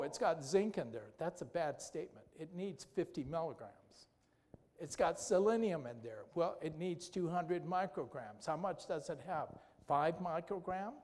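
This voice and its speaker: Male lecturer, higher-pitched, chatty Q&A style, church hall with long reverb. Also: a little mellow. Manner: conversational, asks the audience questions, tag "okay?". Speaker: doctor giving a health talk. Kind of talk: health lecture with audience questions.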